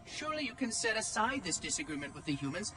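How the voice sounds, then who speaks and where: High, prissy ancient machine oracle. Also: male voice, big pitch swings, fast talker, pushed and bright, a little hiss or hum, heavily acted. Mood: officious, urgent. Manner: prissy, precise, officious. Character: fussy, prim, ancient machine oracle, guardian of an installation. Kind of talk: game cutscene acting. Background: music.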